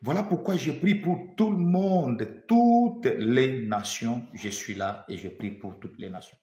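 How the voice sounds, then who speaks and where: A man's voice: medium pitch, expressive, measured pace, mellow, echoey room. A man from the Democratic Republic of the Congo preaching a prophetic sermon, fervent, repeating phrases for emphasis and stammering when he restarts.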